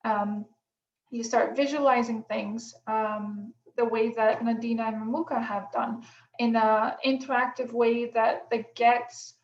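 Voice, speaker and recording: A woman from Greece moderating an online workshop over a video call, relaxed, with many pauses and fillers. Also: a little high. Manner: relaxed, many ums, thinking aloud. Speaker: workshop facilitator. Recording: echoey room, dull lo-fi sound.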